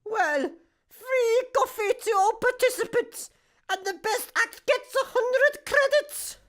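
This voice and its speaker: A Scottish woman playing a cheeky, deadpan petrol station attendant in a sci-fi comedy radio play, dry and sarcastic. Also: extremely high pitch, slow pace, slight background noise, full character voice. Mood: chatty, dry. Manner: dry, self-aware, sarcastic.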